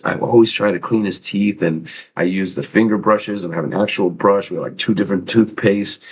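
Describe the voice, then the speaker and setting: Streamer host, low male voice, rambling and profane, light background noise. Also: low-quality recording. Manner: rambling, casual and profane, many fillers and restarts. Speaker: livestream host. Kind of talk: casual livestream chat.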